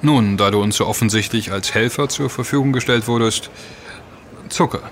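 An actor in a German audio drama playing a dry, determined computer hacker. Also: male voice, mid-range voice, moderate pace, slight background noise, natural voice. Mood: guarded, curious. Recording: clear urban ambience behind.

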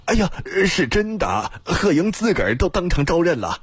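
Guy doing a fawning hefty govt agent for an audiobook, raspy, hesitant, super humble. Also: fairly high pitch, big pitch swings, normal speed, no room sound, voice pushed up, heavily acted. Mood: earnest, pleading. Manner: obsequious, hesitant. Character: fawning heavyset government agent, deferential and hesitant.

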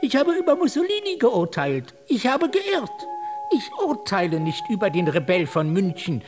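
Agitated, swooping orator over music. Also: male voice, high-pitched, very animated, normal speed. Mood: agitated, defiant. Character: agitated political orator confessing errors, defiant. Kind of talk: German radio drama acting.

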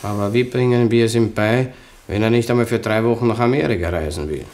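An actor playing a smug, penny-pinching, bigoted official in a radio play, creaky-voiced and grumbling, with an Austrian accent. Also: male voice, lowish voice, quick pace, darkish tone, natural voice. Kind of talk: German-language radio play dialogue.

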